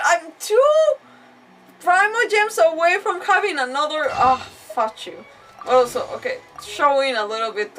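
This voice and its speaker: A woman doing casual livestream game commentary, rambling, expressive and often interrupting herself. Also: very high-pitched, far from the mic, poor audio.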